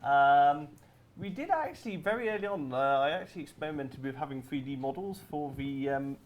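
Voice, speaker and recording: A man's voice: a little high, expressive, brisk, slight background noise. A man chatting informally as a game artist on a panel, reminiscing and explaining. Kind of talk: casual reminiscing chat.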